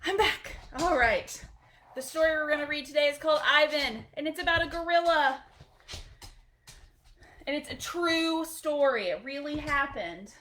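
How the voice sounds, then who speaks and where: A woman's voice: high-pitched, lively intonation, normal speed, fairly bright tone, a little hiss or hum. A woman hosting a storytime, reading a picture book aloud expressively for children, with short chatty asides.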